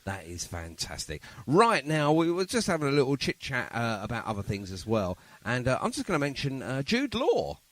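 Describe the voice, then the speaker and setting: A man's voice: medium pitch, huge pitch swings, moderate pace, few pauses, fairly bright tone, dry recording. A man hosting a podcast in a casual, chatty and jokey style. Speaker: podcast host.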